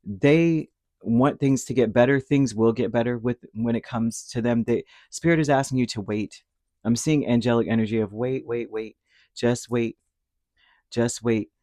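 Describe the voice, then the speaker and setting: A man giving a casual spoken tarot reading, rambling and reassuring, his throat dry and a little hoarse after a cold. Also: medium pitch, a little mellow, no echo. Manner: rambling, reassuring, with many fillers and restarts. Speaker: tarot reader.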